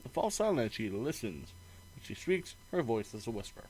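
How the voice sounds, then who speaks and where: Guy doing a let's play, reads the story out loud with really lively pitch, trips on words a bit. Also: brisk, a little hiss or hum, rough recording. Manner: expressive read-aloud delivery with stumbles and asides. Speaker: streamer reading a visual novel aloud. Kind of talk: game let's play, reading narration aloud.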